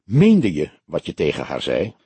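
A man's voice: low voice, wildly swooping pitch, unhurried, warm tone, slightly rough, no echo, pitched below the performer's own voice, full character voice. Man playing a sceptical young detective, swooping intonation. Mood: questioning. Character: sceptical young male detective, wry and questioning.

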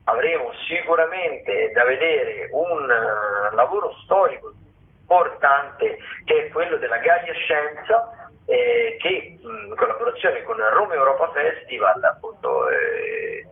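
A man hosting a radio arts show, talking through theatre listings in an informal, wordy, halting way. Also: fairly high pitch, wildly swooping pitch. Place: radio broadcast. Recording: muffled, phone-like radio sound.

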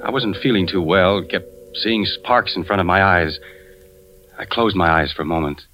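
An actor playing a troubled husband and fire inspector, who moves between brooding narration and intense dialogue in a 1940s radio suspense play. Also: male voice, sits low, moderate pace, pushed and bright, slight background noise. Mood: grim, brooding. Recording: dull 1940s radio recording with music.

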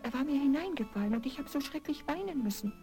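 A woman's voice: high voice, lively intonation, fast talker, warm tone, voice pushed up. A woman voicing a plaintive, childlike young princess in a 1970s children's audio play, easily upset. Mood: anxious pleading. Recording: vinyl record transfer with music.

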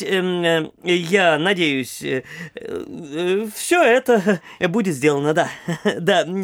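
Frivolous, self-satisfied young dandy, high swooping voice, drawling, dry studio audio drama. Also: male voice, no room sound. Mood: flustered, self-important. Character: boastful, frivolous young gentleman mistaken for an official. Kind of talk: theatrical audio drama acting.

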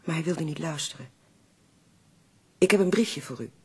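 Husky, worried housekeeper. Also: female voice, low voice, moderate pace, heavily acted. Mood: fretful, scolding. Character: worried, scolding female housekeeper of the poet. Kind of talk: radio drama acting.

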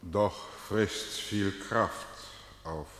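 An actor playing a grave, brooding chorus elder in a classical verse radio drama, speaking in a subdued way. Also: male voice, low-pitched, extremely slow, fairly bright tone, heavily acted.

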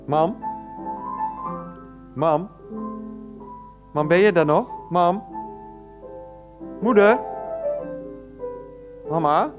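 A man's voice: high-pitched, animated, drawn-out words, pauses often, music in the background, exaggerated voice. An actor in a Dutch radio play as a grown son pleading and stammering on the phone to his mother. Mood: desperate. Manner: stammering, coaxing, often interrupted. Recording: dull, band-limited radio recording.

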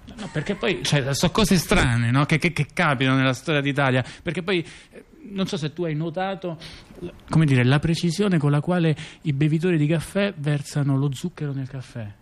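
A man's voice: on the high side, normal speed, lightly acted. An actor playing a rambling male intellectual in a radio theatre dialogue, talking hesitantly and often tagging on questions. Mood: musing. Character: rambling male intellectual musing on small details. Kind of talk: conversational theatrical monologue.

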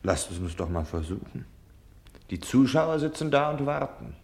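A man's voice: mid-range voice, very animated, normal speed, gravelly, a little mellow. A man playing an impatient, cynical stage actor in a German radio play, with a sardonic, dismissive delivery.

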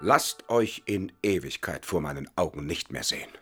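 A man's voice: low-pitched, animated, moderate pace, bright, forceful tone, faint music behind. An actor in a German radio play voicing an outraged, threatening colonel and father in archaic speech. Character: enraged old-fashioned colonel, outraged honour-bound father.

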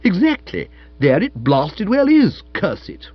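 Male reader as blustering older English aunt, audiobook comedy; emphatic, slangy, very animated delivery, slightly dull recording. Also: high-pitched, unhurried, slightly rough, no room sound, voice pushed up, exaggerated voice. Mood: indignant. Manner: emphatic, slangy, scolding upper-class talk. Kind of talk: audiobook character voice.

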